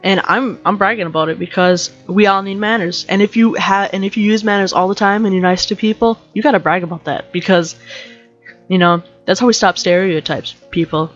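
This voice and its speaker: Woman, deep voice, rambling, joking, dull lo-fi sound, faint music behind. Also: steady tone, a little fast. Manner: rambling, joking, self-interrupting. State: slightly sick with allergies. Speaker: podcast host. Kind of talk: casual motivational rant.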